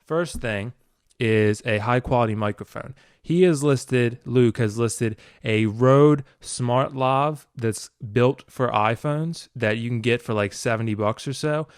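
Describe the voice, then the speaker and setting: A man's voice: medium pitch, unhurried, clear, resonant tone, no room sound, studio quality. A man hosting a casual, unscripted tutorial, talking informally and a bit ramblingly as he demonstrates. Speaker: video tutorial host, hobbyist. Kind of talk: casual unscripted product walkthrough.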